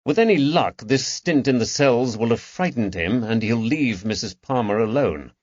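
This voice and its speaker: A man voicing a calm, perceptive Victorian detective with a gentle, measured way of talking, in a dull, dry recording. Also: medium pitch, dry recording, lightly acted. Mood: thoughtful.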